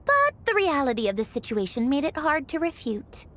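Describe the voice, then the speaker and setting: A woman's voice: sits high, huge pitch swings, normal speed, fairly bright tone, a little hiss or hum, heavily acted. A woman playing a proud, short-tempered, grumbling female villain officer in a video game, with a haughty, blunt manner. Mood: disdainful, grumbling. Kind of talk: English video game voice acting. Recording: background music under the voice.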